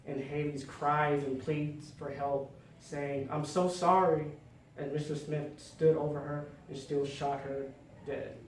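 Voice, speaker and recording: A man delivering a prepared storytelling speech, phrasing it deliberately and dramatically with pauses. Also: a little high, a little mellow. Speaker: public speaker. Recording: roomy, distant mic, faint noise.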